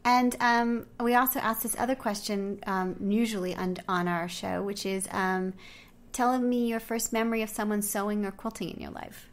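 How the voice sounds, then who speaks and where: A woman hosting an interview podcast, relaxed and casual, with many pauses and short interjections. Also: medium pitch. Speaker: podcast host.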